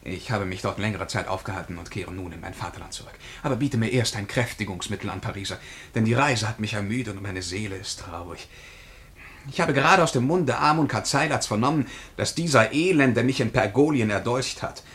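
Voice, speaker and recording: A rather low-voiced man acting as a vain, menacing time traveller in a radio drama. He declaims grandly and gives orders with pompous, imperious disdain. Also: a little fast, fairly bright tone, lightly acted. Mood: haughty, weary.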